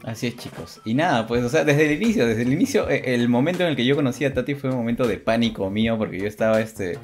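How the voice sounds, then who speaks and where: A young man talks casually to viewers as co-host of an online show. His voice sits at a middle pitch, and he hesitates and repeats phrases. Faint music plays behind him. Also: expressive, fast talker.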